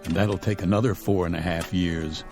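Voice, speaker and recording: Low-voiced, stern military commander. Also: male voice, steady tone, vocal fry, darkish tone, faint music behind. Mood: resolute, matter-of-fact. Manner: authoritative, measured command. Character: stern, level-headed male military colonel commanding stranded space crew. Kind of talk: radio drama acting.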